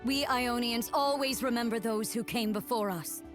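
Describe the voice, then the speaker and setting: A woman's voice: on the high side, moderate pace, music in the background, close to an everyday voice. An actress voicing a stoic, courageous young female army leader of a fantasy game, solemn and confident. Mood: solemn, grieving.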